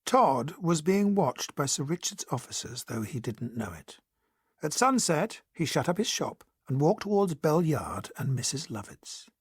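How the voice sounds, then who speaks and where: An actor playing a protective, reassuring gentleman and storyteller, gentle and measured, in a period audio drama. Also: on the high side, very animated, slightly rough, dry recording, full character voice. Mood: measured storytelling. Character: reassuring, protective gentleman who also narrates the tale.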